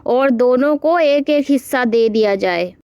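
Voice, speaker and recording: Cartoon bird king, male, grave, authoritative, slow delivery, dry sound; voiced by a woman. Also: fairly high pitch, lively intonation, darkish tone, clear, resonant tone, dry recording. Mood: stern. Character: grave, wise bird king passing judgment. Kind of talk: animated moral story dialogue.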